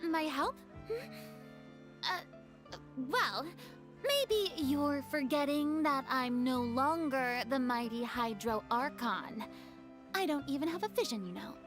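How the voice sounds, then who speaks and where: A woman's voice: high-pitched, animated, slow pace, a bit bright, faint music behind, heavily acted. An actress voicing a proud, theatrical young woman who was once a goddess, with a haughty, dramatic delivery. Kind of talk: game voice acting.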